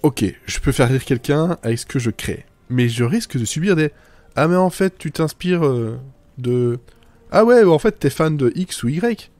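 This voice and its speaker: A man's voice: fairly high pitch, animated, moderate pace, darkish tone, no room sound. A man narrating a scripted humour podcast in a wry, self-deprecating manner.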